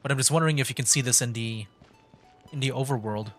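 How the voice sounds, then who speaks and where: A man with a medium-pitched voice gives casual commentary on a game he is streaming. He rambles and thinks aloud with hesitant phrasing, over faint game music. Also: quick pace, a bit bright. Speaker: game livestreamer.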